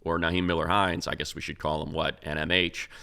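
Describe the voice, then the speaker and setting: A man's voice: extremely low pitch, level tone, a little fast, creaky, sharp tone, clear, resonant tone. An American man co-hosting a sports show, giving unscripted football analysis in an emphatic, rambling, conversational way.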